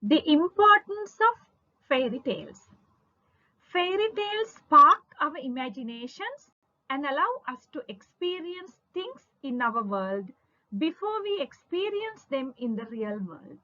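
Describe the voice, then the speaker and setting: A woman giving a scripted literature lesson as a lecturer, in a deliberate, emphatic, instructional manner, on a lo-fi recording. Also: high voice, very animated, bright, forceful tone. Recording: dull lo-fi audio.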